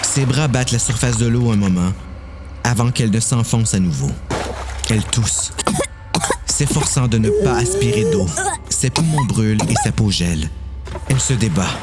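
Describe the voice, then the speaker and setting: A man with a Quebec French accent narrating a dramatised horror story. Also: mid-range voice.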